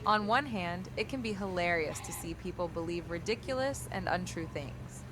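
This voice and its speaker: A low-pitched synthetic woman's voice reads chat messages aloud, flat and overly formal like a chatbot. A steady hiss of background noise runs under it. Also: normal speed. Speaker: chatbot voice reading viewer messages. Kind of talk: text-to-speech reading of chat and replies.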